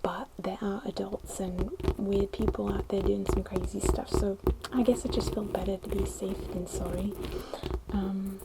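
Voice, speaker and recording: A young woman with a put-on posh British accent, whispering a gentle, rambling ASMR chat into a close microphone. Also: medium pitch, level tone, unhurried, creaky, very noisy. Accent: put-on posh British English. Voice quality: whispered, hushed. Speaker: ASMR creator. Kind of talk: soft-spoken ASMR chat. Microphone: close sensitive ASMR microphone.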